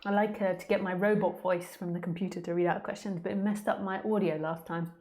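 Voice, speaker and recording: Woman, lowish pitch, spiritual teacher, informal question-and-answer talk, candid, plain-spoken, conversational. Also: steady tone, fairly quick, darkish tone.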